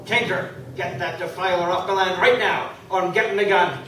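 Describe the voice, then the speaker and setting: A man's voice: high voice, fairly even intonation, moderate pace, pushed and bright. An actor playing a stern, domineering farmer father in a live radio play, commanding and indignant. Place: convention hall. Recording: echoey hall, from far off, noisy.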